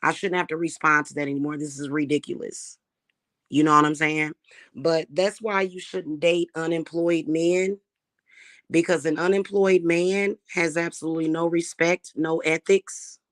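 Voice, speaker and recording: A woman with a slightly hoarse voice, talking casually and defiantly to her viewers about her spiritual practice. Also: low-pitched, steady tone, moderate pace. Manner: chatty, defiant, addresses viewers as y'all. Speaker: spiritual reader and channel host.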